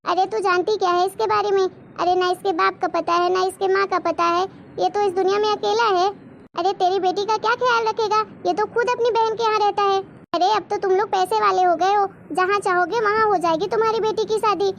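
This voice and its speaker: Woman as nosy cartoon auntie, disapproving and gossipy, extremely high pitch, faint noise underneath. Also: steady tone, fairly quick, vocal fry, dull audio. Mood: scolding, gossipy. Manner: gossipy, scolding. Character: meddling, disapproving older neighbourhood auntie in a cartoon. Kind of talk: Hindi cartoon moral-story dubbing.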